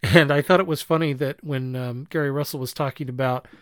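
Guy hosting a podcast, rambles n backtracks, medium pitch, super dry close mic. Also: fairly even intonation, a bit bright, no echo. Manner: halting, rambling, self-correcting delivery; chuckles while talking. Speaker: podcast host. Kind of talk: casual review chat.

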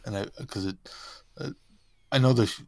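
A man's voice: lowish voice, normal speed. A man hosting a podcast in casual conversational chat. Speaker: podcast host.

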